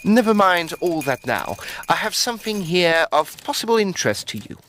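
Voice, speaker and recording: Dry, lively Edwardian gentleman, played by a man. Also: sits high, normal speed, slight background noise. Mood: businesslike. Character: dry, teasing Edwardian estate agent, sceptical business partner. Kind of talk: tongue-in-cheek period audio drama dialogue.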